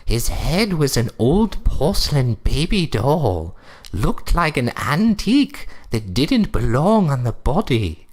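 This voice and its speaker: A woman's voice: a bass voice, very animated, slow, darkish tone, full character voice. A woman playing a snooty, fussy older wife in a comic story reading, with a prim, theatrical, condescending delivery.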